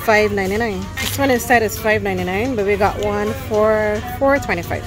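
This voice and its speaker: A woman's voice: mid-range voice, a little fast, rough recording. A woman hosting a casual shopping vlog, addressing viewers directly and exclaiming as she goes. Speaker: vlog host. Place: supermarket aisles. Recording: music playing loudly behind. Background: loud music.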